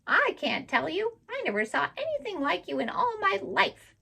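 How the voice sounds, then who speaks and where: Woman doing a shrill mocking parrot. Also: sing-song, normal speed, creaky, heavily acted. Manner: shrill, teasing, loud. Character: mocking saucy male parrot.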